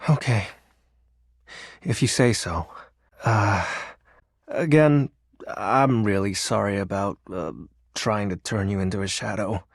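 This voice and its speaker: A man's voice: fairly low pitch, takes it slowly, long pauses, no echo, clean studio sound. A man voicing the personification of darkness in a video game, a shy, sentimental, awkward self-styled shadow lord who speaks hesitantly with bursts of theatrical brooding. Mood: sheepish, apologetic.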